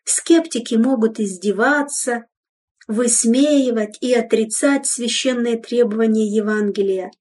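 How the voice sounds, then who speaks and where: A woman giving a solemn, measured devotional reading in an exhorting tone, heard from some distance from the microphone. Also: on the high side, a little mellow. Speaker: reader of devotional text.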